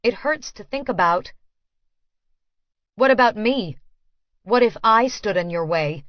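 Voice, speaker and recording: A woman reading first-person fiction as an audiobook narrator, with a measured, dramatic delivery. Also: medium pitch, lively intonation, no room sound, lo-fi sound.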